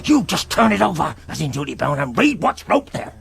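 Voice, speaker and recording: An actor playing a surly, blustering mutinous pirate crewman with a rough voice in a radio drama. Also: fairly high pitch, very animated, brisk, full character voice. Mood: defiant. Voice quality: rough, rasping. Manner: blustering, accusing.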